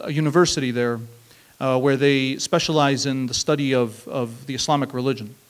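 A man in his thirties telling a personal story at an open mic on a small stage, reflective and measured, leaning on emphatic repeated phrases, speaking into a handheld mic. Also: mid-range voice. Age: 30s. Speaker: spoken word performer. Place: small stage, seated on a stool.

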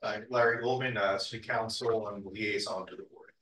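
A man speaking as city council liaison at a board meeting, relaxed with many fillers. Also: fairly low pitch, normal speed, far from the mic. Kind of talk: meeting report. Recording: echoey room, off-mic, faint noise.

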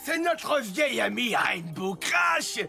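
A man's voice: very high-pitched, big pitch swings, slow pace, creaky, music in the background, exaggerated voice. A man voicing a mocking young male pony bully with a jeering drawl in a cartoon dub.

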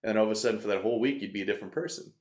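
Low-voiced man telling a personal story. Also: very fast. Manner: earnest, reflective, self-interrupting. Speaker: podcast guest, coach. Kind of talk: candid storytelling in conversation. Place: bright studio room, indoors. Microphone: desk mic on stand.

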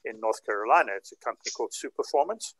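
A man's voice: mid-range voice, animated, racing, vocal fry, a bit bright, slightly rough, no room sound, rough recording. Older man chatting, dry close sound. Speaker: participant in a hobby group chat. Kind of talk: casual chat, recounting. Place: indoors, on a group video call. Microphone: video call.

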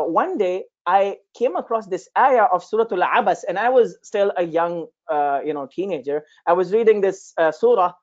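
A man's voice: high-pitched, highs cut off. Man teaching, native Urdu speaker. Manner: conversational, many fillers and restarts. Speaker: Arabic instructor. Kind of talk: informal lecture with personal story. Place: small room indoors, talking over a shared screen.

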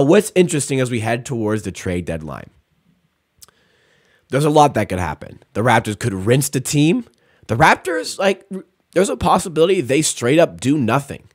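A young man hosts a post-game show from a small room at home, working through the box score in a relaxed, chatty way. His voice sits at a middling pitch. He speaks into a close studio mic with a dry sound. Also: no echo. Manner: rattles off stats, fillers like "like" and "uh". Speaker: sports stream host. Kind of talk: casual post-game breakdown. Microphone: studio mic on boom arm, close.

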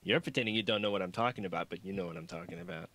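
A man's voice: on the low side, sing-song, very fast, creaky, a bit bright, no echo, heavily acted. A man voicing a teasing, mischievous young woman who pesters playfully in an audiobook. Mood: teasing, excited.

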